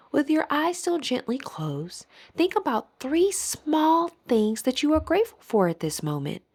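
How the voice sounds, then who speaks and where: A woman leading a guided meditation as an instructor, speaking in a soothing, deliberate manner with gentle pauses. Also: high-pitched.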